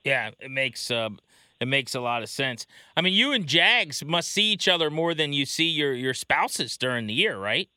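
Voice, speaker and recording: A man's voice: mid-range voice, very animated, moderate pace, bright, forceful tone, clear, resonant tone, dry recording, studio quality. A man hosting a casual sports talk interview in a conversational way. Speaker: interview host.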